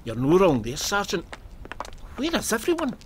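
An actor with a Scottish accent playing a plain-spoken, uneasy, sceptical police constable in an audio drama, grumbling in colloquial speech. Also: male voice, high-pitched, huge pitch swings, moderate pace, a little hiss or hum. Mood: puzzled. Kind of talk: full-cast audio drama acting.

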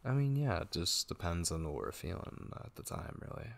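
A man playing a tender, devoted young gamer boyfriend in an ASMR roleplay, speaking softly, intimately and hesitantly with a husky voice. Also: sits low, very animated, normal speed, full character voice. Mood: casual, attentive. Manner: soft, hesitant, intimate, with murmured asides. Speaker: ASMR voice actor.

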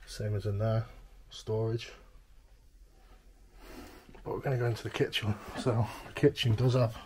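A man's voice: lowish voice, steady tone, moderate pace, a little mellow, a little hiss or hum. A man narrating a walkthrough of an abandoned house in an offhand, chuckling way, recorded on a handheld camera indoors. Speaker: urban explorer vlogger. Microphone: camera mic, handheld walkthrough.

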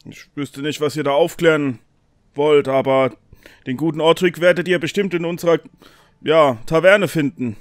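A man voicing a mournful, courteous town guard who speaks haltingly in a tabletop game. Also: a little high, steady tone, dry recording, clean studio sound, lightly acted. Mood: hesitant, polite. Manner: halting, hesitant.

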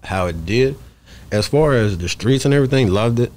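A man's voice: medium pitch, animated, moderate pace, a little mellow. A man, talking casually and emphatically as an interview guest, repeating himself often. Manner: emphatic, repetitive, peppers talk with "you know what I'm saying". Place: small podcast studio in a shop. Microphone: close studio microphone on stand.

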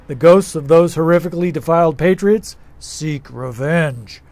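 An actor playing a folksy, patronizing security guard who gives ominous warnings in an audio drama. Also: male voice, on the high side, normal speed, a little mellow, no room sound, close to an everyday voice.

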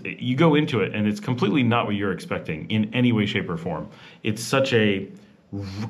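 A man hosting a vlog, narrating a walking tour in a casual voice-over that rambles with many fillers and restarts. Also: on the low side. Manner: chatty and informal. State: rushed. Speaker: vlog host.

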